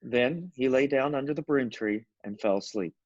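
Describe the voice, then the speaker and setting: A man of average pitch narrates scripture from a script. The recording is very dry and a little dull. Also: moderate pace, a little mellow, dry recording.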